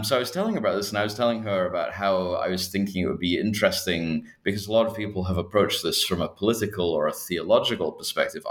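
Deep-voiced Scottish-born man, hesitant. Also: fairly bright tone. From Scotland. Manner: hesitant, frequent fillers. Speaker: guest historian. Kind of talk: academic interview.

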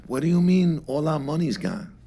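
Raspy, animated streetwise man. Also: a little high, normal speed. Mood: alarmed, soothing. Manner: slangy, streetwise, reactive. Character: excitable, sympathetic male street-wise old friend, Luis. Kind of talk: naturalistic scripted audio drama acting.

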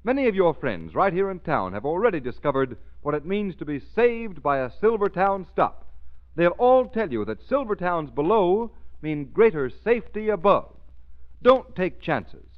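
Animated old-time radio pitchman, muffled. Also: normal speed. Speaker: radio announcer. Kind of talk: 1930s radio commercial announcing.

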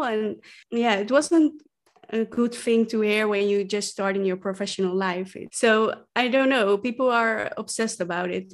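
A young woman with a Dutch accent talks easily as a podcast guest. She laughs often and fills her pauses with ums and uhs. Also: mid-range voice. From Netherlands. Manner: laughs often, many fillers and restarts. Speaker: guest, clothing brand founder. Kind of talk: casual interview chat.

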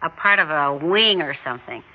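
Chatty housewife pal, American, swooping animated delivery, tinny vintage radio sound. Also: female voice, low-pitched, brisk. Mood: excited. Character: chatty, excitable suburban housewife friend and neighbor. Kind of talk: old-time radio drama acting. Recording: thin, narrow-band vintage radio recording.

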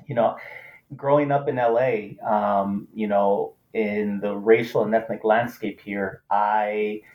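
Hesitant low-voiced man, many fillers. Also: steady tone, slow, highs cut off. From Los Angeles. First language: Spanish. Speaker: guest author, professor. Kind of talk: casual interview talk.

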